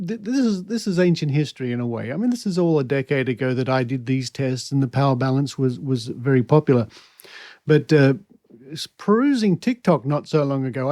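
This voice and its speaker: A man's voice: a little high, mellow, no echo, studio quality. A man hosting a podcast, chatting casually as he interviews. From Australia.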